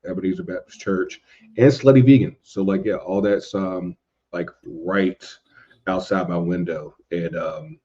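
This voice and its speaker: A man chatting casually as a podcast guest, halting and circling back over his phrases. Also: low voice, fairly even intonation, warm tone, highs cut off. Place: indoors at home in a city.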